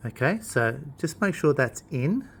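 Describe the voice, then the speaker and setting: A man talking through equipment in an informal tutorial, explaining things in a plain-spoken, unhurried way. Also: mid-range voice, big pitch swings, fairly bright tone, slight background noise. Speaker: instructor demonstrating PA equipment.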